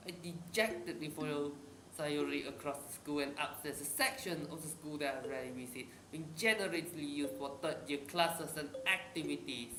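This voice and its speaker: A man's voice: a little high, normal speed, background noise. A man from Malaysia streaming a visual novel, reading its text aloud and chatting in casual asides. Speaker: game streamer. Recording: roomy with music behind.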